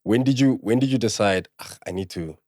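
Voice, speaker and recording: Male host, low-pitched, playful interviewer, close dry sound. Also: fairly quick, a little mellow, no echo. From South Africa. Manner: playful, teasing, encouraging. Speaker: podcast host. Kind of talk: casual interview.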